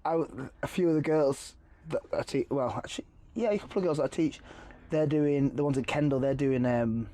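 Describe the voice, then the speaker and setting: A man chatting casually as a podcast guest, animated and quick to slip into impressions of other voices. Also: mid-range voice. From Cumbria, England. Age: adult.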